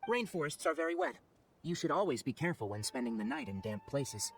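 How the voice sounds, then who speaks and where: A young, wry forest ranger in a video game. His voice sits fairly high for a male, with lively intonation and an articulate, matter-of-fact way of explaining. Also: brisk, slight background noise. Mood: brisk, instructive. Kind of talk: video game character acting.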